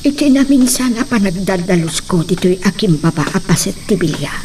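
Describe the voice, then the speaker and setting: A woman's voice: medium pitch, lively intonation, a little fast, noisy background, natural voice. Timid servant woman played by an actress. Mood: worried, reflective. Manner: hesitant, pleading. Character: timid, frightened female household servant pleading innocence. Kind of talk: melodramatic radio drama acting.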